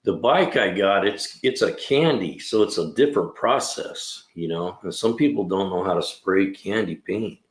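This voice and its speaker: A man's voice: sits low, moderate pace, few pauses. A man in his sixties hosting a casual livestream chat, laid-back and rambling, often saying "man" and "you know.". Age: 60s. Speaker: livestream host and mechanic. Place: garage. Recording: echoey room.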